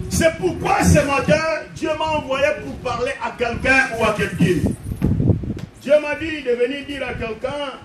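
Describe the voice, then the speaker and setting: A man delivers an impassioned sermon, his voice sitting extremely high for a man. He shouts and hammers phrases over and over. The sound is echoey and distant, with noise underneath. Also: measured pace. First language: Portuguese. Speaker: pastor. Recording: echoey room, distant mic, background noise.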